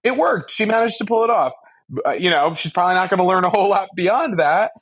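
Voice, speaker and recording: Wry man with a lightly high voice. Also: fast talker, fairly bright tone, slightly rough. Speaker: podcast co-host. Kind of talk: casual fan discussion.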